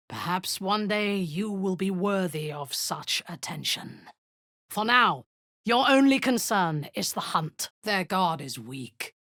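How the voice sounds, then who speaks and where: A woman's voice: on the low side, a bit bright, no echo, clean studio sound, full character voice. A woman playing a cruel, zealous drow war commander in a fantasy video game, commanding and full of haughty contempt.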